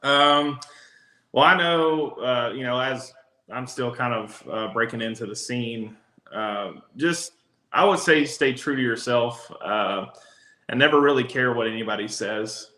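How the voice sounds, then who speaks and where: A man with a raspy voice, a country singer, talking casually as an interview guest with many fillers. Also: medium pitch, measured pace, sharp tone. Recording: echoey room.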